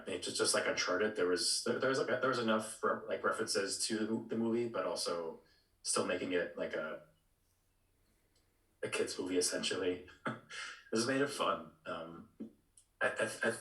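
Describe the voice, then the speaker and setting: A man chatting casually on a podcast, in a halting way full of fillers and restarts. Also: deep voice, fairly bright tone, roomy sound, low-quality recording. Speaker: podcast panelist.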